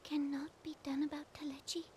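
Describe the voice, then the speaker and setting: An actress playing a proud, troubled young female sovereign in a game cutscene, speaking in a formal, deliberate, regal manner. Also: sits high, little pitch movement, a little mellow, a little hiss or hum, lightly acted. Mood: wary, troubled. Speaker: game character, royal ruler. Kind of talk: scripted game cutscene acting, formal archaic drama.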